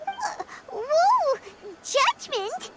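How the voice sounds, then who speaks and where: Squeaky, very high tiny fairy companion. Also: female voice, big pitch swings, drawn-out words, heavy background noise, exaggerated voice. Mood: startled, nervous. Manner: squeaky, babyish, speaks of self in third person. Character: tiny childlike female fairy companion, excitable and naive. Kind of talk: video game cartoon voice acting.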